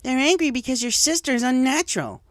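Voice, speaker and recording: Man doing a snobby, squeaky-high schoolgirl filly. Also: lively intonation, quick pace, no echo, clean studio sound. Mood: sneering, spiteful. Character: snobby, spiteful young schoolgirl filly, sneering bully.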